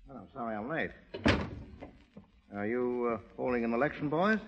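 An actor playing a cool, cocky undercover cop posing as a racketeer, sardonic and clipped, American, in a 1930s radio drama. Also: male voice, mid-range voice, moderate pace, heavy background noise, close to an everyday voice. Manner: sardonic, self-assured, clipped. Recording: muffled lo-fi 1930s radio recording.

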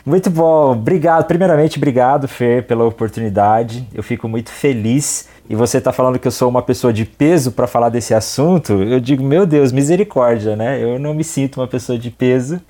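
A man's voice: medium pitch, brisk, few pauses, warm tone. A man, a theologian and podcast guest who talks in a friendly, self-deprecating way and laughs often. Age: 20s. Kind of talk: casual podcast interview.

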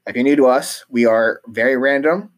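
A man's voice: mid-range voice, normal speed. A man co-hosting a podcast in casual chat, rambling and easygoing with lots of fillers. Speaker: podcast co-host.

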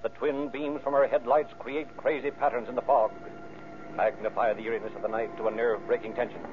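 A man narrating a vintage radio crime drama in an ominous, measured, florid manner. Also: mid-range voice, level tone. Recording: tinny narrow-band old radio recording. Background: loud dramatic music.

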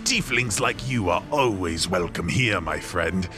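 An actor playing a folksy, talkative tavern keeper in a fantasy game, using old-timey turns of phrase. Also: male voice, low-pitched, lively intonation, unhurried. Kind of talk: animated comedic fantasy voice acting. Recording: music behind the voice.

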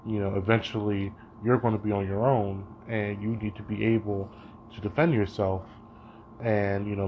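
Young man, student reflection; slow, halting, filler-heavy delivery, low-ish voice, dull recording. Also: slight background noise. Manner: hesitant, thinking aloud, says "you know" a lot. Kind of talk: spoken reading reflection.

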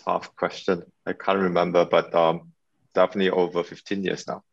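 A man's voice: sits low, flat delivery, brisk, creaky. A man in his forties who owns an event planning company, answering interview questions in a plain, matter-of-fact way. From Malaysia. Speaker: event planning company owner, podcast guest. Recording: dull lo-fi audio.

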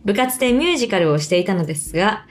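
A woman with a Kansai accent and lively, swinging intonation co-hosts a casual podcast. She tells stories in conversational bursts, acting out quoted speech, and reads out messages from listeners. Music plays underneath her voice. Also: mid-range voice, rapid-fire. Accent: Kansai Japanese. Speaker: podcast co-host. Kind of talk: casual chat and reading listener mail.

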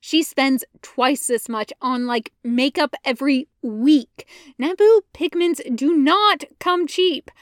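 A plaintive young man from a storybook , acted out by a woman reader. He is sheepish and defensive, pitched high, in a very dry recording. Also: slow, no room sound. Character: whiny, sheepish, lovesick-meddling young man. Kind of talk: storybook character voice.

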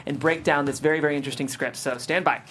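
A man's voice: on the high side, steady tone, fast talker, fairly bright tone. Male table-read host, slight background noise. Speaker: host and stage-direction narrator. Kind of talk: scripted screenplay narration and hosting.

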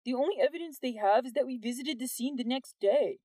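A woman's voice: a little high, a little fast, dark tone, no room sound, pitched above the performer's own voice, lightly acted. A woman narrator gives voice to a fifteen-year-old boy in an audiobook novel. He is laid-back and nonchalant. His delivery is casual, like everyday talk among classmates. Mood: grumbling.

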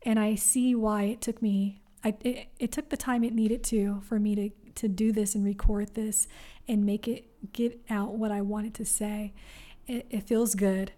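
A young adult woman hosting a solo wellness podcast, speaking softly and gently with many pauses, encouraging the listener. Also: medium pitch, flat delivery. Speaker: podcast host.

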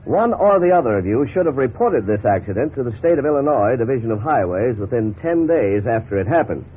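Actor as a measured attorney, tinny vintage radio. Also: male voice, medium pitch, big pitch swings, close to an everyday voice. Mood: calm, firm. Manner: measured, formal, explanatory. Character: calm, authoritative attorney advising his clients. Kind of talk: 1950s radio drama acting. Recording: noisy, tinny 1950s radio recording.

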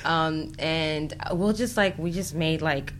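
American woman podcast guest, low voice, chatty and informal, bit of background noise. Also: takes it slowly. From United States. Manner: chatty, informal, uses "like" often. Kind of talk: casual chat.

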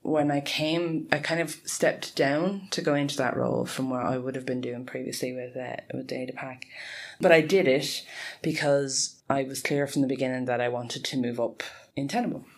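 A woman's voice: very deep voice, fairly even intonation, normal speed. Earnest woman in her forties, laughing often. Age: 40s. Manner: earnest, frequent laughs, self-correcting. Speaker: guest, tech support lead. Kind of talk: conversational interview answers.

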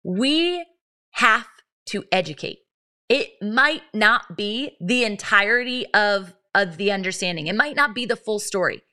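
A woman's voice: medium pitch, expressive, measured pace, bright, forceful tone. A woman in her thirties hosting a faith podcast, telling a story earnestly and with lots of animation, restarting her sentences as she goes. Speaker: podcast host. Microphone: podcast microphone, live stream.

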